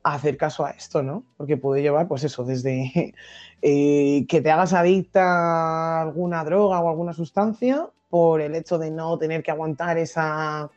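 A young woman from Madrid with a Madrid accent, a podcast guest explaining her ideas thoughtfully, with many pauses and restarts. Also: low voice, fairly even intonation, a little mellow, lo-fi sound. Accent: Madrid Spanish. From Madrid, Spain. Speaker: guest activist. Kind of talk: podcast interview.

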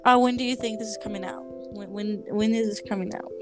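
A woman co-hosting a podcast, chatting casually and informally in an excitable way. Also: medium pitch, quick pace, over music. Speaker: podcast co-host. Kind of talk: casual chat with a friend.

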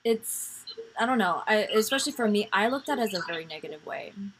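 A woman in her twenties hosting a podcast, chatting casually about faith in a soft, rambling way full of fillers. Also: mid-range voice, animated, fast talker, husky, poor audio. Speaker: podcast host.

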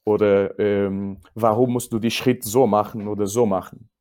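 A man's voice: lowish voice, fairly even intonation, darkish tone. A man with a Portuguese accent speaking as a non-native, a judo coach answering casually in an interview and searching for words. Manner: halting, searching for words in non-native German, repeats himself.

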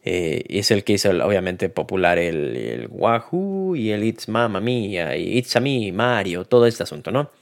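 A man's voice: fairly low pitch, sing-song, normal speed, creaky, few pauses. A man giving a casual spoken film review, hesitant, with many fillers and restarts.

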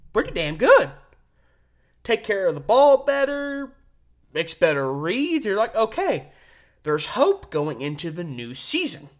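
A man hosting a sports radio show solo, giving opinionated, emphatic commentary with deliberate pauses and rhetorical questions. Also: sits high, a bit bright.

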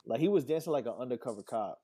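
American dude on a podcast, warm mellow voice, medium pitch, slangy cussing sports jokes. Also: male voice, lively intonation, rapid-fire, husky. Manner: profane, slangy, joking. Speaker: podcast co-host. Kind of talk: casual sports banter.

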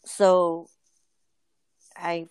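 A woman's voice: lowish voice, completely flat delivery, very slow, a little mellow, no room sound. Earnest woman, dry close sound. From Filipino family. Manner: earnest, many fillers and restarts. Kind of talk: casual conversation.

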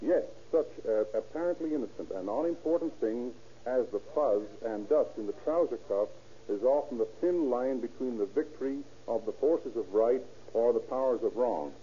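Very dark, muffled American man, formal address. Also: medium pitch, animated, normal speed. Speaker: police captain, guest speaker. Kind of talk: formal scripted radio address. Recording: muffled, noisy 1930s radio recording.

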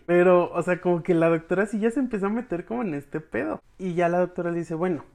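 Slangy Mexican podcast recapper. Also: mid-range voice. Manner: slangy, expressive, acts out dialogue casually. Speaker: podcast reviewer recapping episodes for followers. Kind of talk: informal storytelling recap, addressing the audience as friends.

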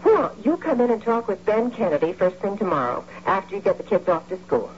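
An actress playing a doting, trusting wife in an old-time radio drama, affectionate and gushing. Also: female voice, medium pitch, sing-song, a little fast, slight background noise, natural voice. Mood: reassuring. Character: doting, trusting wife, proud bank manager. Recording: muffled, humming old radio recording.

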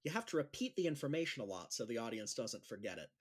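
A man's voice: mid-range voice, sing-song, quick pace, slightly rough. An actor playing a nervous, earnest male gym teacher who speaks awkwardly and hesitantly in an audio drama. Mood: earnest, eager. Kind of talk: naturalistic audio drama dialogue.

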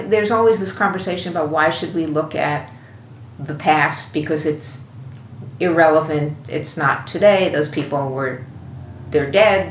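A woman's voice: low-pitched, fairly bright tone. A woman guest commentator musing emphatically and off the cuff, as in an interview. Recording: echoey room with faint noise.

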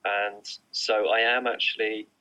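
A man's voice: on the low side, little pitch movement, measured pace, sharp tone, lo-fi sound. A middle-aged man, an artist, answering interview questions online in a casual, hesitant way with many fillers and restarts. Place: remote online recording.

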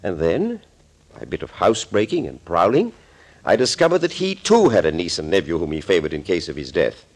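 An actor playing a sinister middle-aged doctor, florid and mock-polite, in a 1940s radio thriller. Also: male voice, low voice, big pitch swings, a little fast, heavily acted. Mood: scheming. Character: sinister middle-aged male doctor, suave, gloating schemer.

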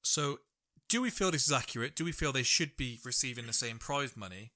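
Man, podcast host, chatty and jokey, bright forward tone. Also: medium pitch, fairly quick. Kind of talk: casual chat, reading out news stories.

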